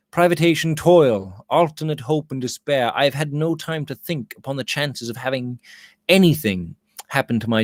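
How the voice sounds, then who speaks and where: A man's voice: fairly high pitch, no room sound, studio quality. A man reading the part of a brooding, agitated gold prospector in an audiobook, with dramatic, impassioned delivery. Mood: agitated, despairing.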